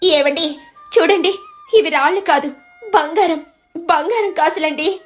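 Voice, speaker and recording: A woman voicing a village wife who excitedly exclaims to her husband. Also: very high-pitched, unhurried, faint music behind, pitched above the performer's own voice. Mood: amazed.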